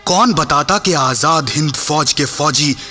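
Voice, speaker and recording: An actor playing an impassioned captured freedom fighter in a radio play, speaking emphatically with rhetorical pauses. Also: male voice, fairly high pitch, sharp tone, soft background music. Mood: fiery, insistent. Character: captured Indian freedom-army soldier, impassioned, shrewd, weary. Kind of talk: Hindi radio play acting.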